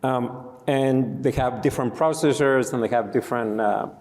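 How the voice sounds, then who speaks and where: Greek-accented founder on a live panel, explanatory, heard with hall echo. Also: male voice, medium pitch, moderate pace, darkish tone. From Greece. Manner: explanatory, storytelling. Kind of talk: conference panel discussion. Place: conference stage. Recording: reverberant conference hall.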